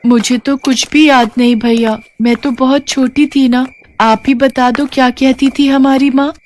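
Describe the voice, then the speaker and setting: महिला द्वारा निभाई ऊँची आवाज़ वाली भोली छोटी बच्ची. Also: female voice, high-pitched, fairly even intonation, unhurried, darkish tone, pitched above the performer's own voice. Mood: worried, curious. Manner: earnest, questioning, childlike. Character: innocent, trusting little village girl, younger sister.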